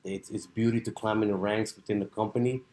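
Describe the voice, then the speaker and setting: A man hosts a podcast with a low voice and an easygoing manner. He asks his questions casually and answers with enthusiastic slang. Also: steady tone, a little fast, warm tone. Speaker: podcast host. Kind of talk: casual interview.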